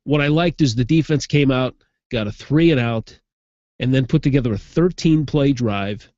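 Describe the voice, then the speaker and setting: A man's voice: mid-range voice, normal speed, no echo. Brief: stuffed-up male sports host, conversational football recap, dry close sound, slightly dull audio. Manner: conversational, gets animated when amused. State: stuffed up from a sinus infection. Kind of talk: casual football recap commentary.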